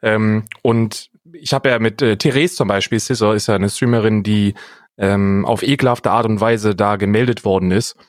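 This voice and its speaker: A man's voice: on the low side, fairly even intonation, moderate pace, no room sound, clean studio sound. A man chatting casually as a podcast co-host in a blunt, colloquial way.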